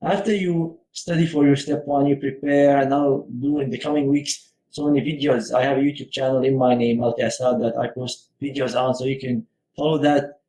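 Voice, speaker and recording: A young man from Syria, a medical research fellow, giving advice in a relaxed talk with many fillers and restarts. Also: mid-range voice, fairly quick. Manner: hesitant, with frequent fillers and restarts. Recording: echoey room.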